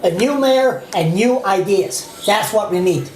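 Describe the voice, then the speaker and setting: A man's voice: high-pitched, expressive, measured pace, darkish tone, echoey room, poor audio. A man interviewing in a casual, confrontational debate, argumentative, often cutting in and repeating himself.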